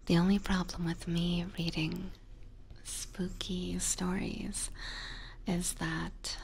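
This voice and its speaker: Very slow whispering ASMR woman. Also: lowish voice, flat delivery, slight background noise, low-quality recording. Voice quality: soft, breathy whisper. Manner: gentle, chatty, giggly, addressing chat. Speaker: ASMR livestreamer. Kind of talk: whispered ASMR livestream chat. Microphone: close binaural ASMR microphone.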